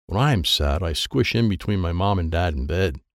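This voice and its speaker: A man's voice: sits low, animated, brisk, no echo, studio quality. A man reading a children's audiobook in short, simple, childlike sentences. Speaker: audiobook narrator.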